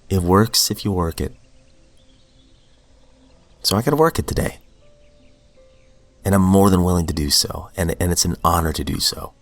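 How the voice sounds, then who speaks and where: A man with a very low voice reads recovery texts and then reflects on them in an earnest, unhurried way. He sounds a little sniffly from a cold. Faint music plays beneath him. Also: vocal fry. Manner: earnest, reflective, with fillers and restarts. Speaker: podcast host reading daily recovery texts. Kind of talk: reading aloud then casual reflection.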